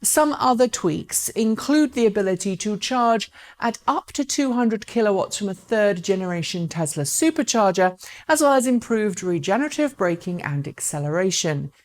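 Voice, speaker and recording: A woman's voice: mid-range voice, expressive, moderate pace. A woman presenting electric car news as scripted narration, speaking articulately and directly to viewers.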